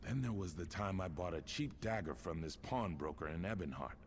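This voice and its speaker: A luckless lizard-man wanderer from a fantasy game, with an exceptionally deep voice. He sounds weary and rueful, a gloomy storyteller down on his luck. There is faint noise behind him. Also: animated, a little fast. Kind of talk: video game character acting.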